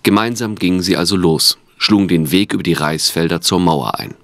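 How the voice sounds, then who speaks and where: A man's voice: low-pitched, a little fast, a bit bright, dry recording. A man reading scripted narration for an audio drama.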